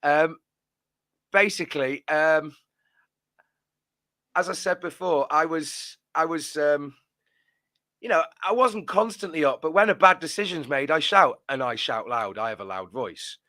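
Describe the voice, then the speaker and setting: A man's voice: on the high side, measured pace, long pauses, bright, forceful tone, dry recording, studio quality. A man giving post-match reaction as a fan channel co-host, rambling and trailing off into a mutter at the ends of his phrases.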